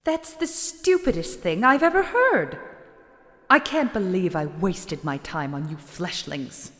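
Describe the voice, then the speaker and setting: A woman's voice: fairly low pitch, big pitch swings, measured pace, a bit bright. A woman playing a vain, haughty female shadowling merchant in a video game, theatrical, self-absorbed and condescending. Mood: haughty, indignant. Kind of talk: dramatic video game voice acting. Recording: long reverb on the voice.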